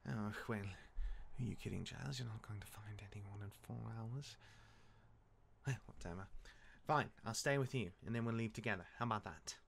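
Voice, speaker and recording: A man's voice: lowish voice, moderate pace, creaky, a little hiss or hum. A man playing a cocky, easygoing god who mentors his younger sibling in a fantasy audio drama, casual, rambling and teasing. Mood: teasing, reluctant. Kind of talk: fantasy audio roleplay acting.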